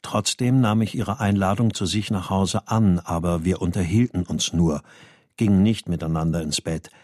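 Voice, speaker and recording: An actor playing a troubled, weary writer in a radio drama, speaking in a sober, measured, introspective way. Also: male voice, deep voice, darkish tone, no room sound, studio quality. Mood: reflective. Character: troubled male writer recounting his daughter's disappearance, brooding, weary. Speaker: narrator and lead character. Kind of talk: radio drama, introspective first-person narration and dialogue.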